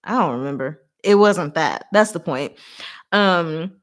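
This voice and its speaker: A woman hosting a casual live book discussion, retelling the plot in an animated, giggly, informal way with acted-out asides. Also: deep voice, unhurried. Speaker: host of a livestream book discussion. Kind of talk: casual chatty live story recap with commentary.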